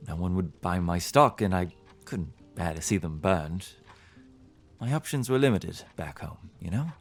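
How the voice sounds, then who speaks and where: A man's voice: deep voice, animated, measured pace, faint music behind, heavily acted. A man playing a weary, amiable, easily flustered travelling bookseller in a fantasy audio drama, speaking hesitantly. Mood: rueful. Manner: hesitant, stammering. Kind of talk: fantasy podcast acting.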